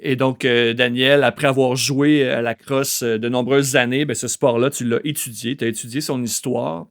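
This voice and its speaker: A man hosts an interview. His voice sits at an ordinary middle pitch. He asks questions courteously, often pausing on fillers. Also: steady tone. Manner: polite, hesitant, frequent fillers. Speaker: podcast host. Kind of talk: interview hosting.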